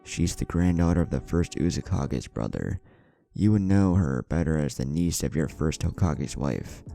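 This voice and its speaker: A man voicing a gruff but kindly, dutiful ninja guard in a story, talking in an earnest, conversational way. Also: extremely low pitch, animated, moderate pace, mellow, faint music behind, heavily acted. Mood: earnest, informative.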